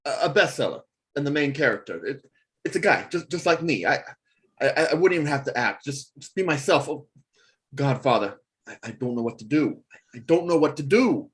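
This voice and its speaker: A man's voice: on the high side, expressive, a bit bright. An actor playing a desperate, whining washed-up male singer, stammering his way through a script table read. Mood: despairing, helpless. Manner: halting, stammering.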